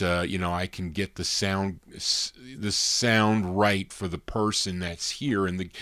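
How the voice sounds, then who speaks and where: Baritone man hosting a record show. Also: fairly bright tone, no echo, clean studio sound. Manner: rambling, folksy. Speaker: host of a vintage record radio show. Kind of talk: casual live radio show chat. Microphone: studio microphone.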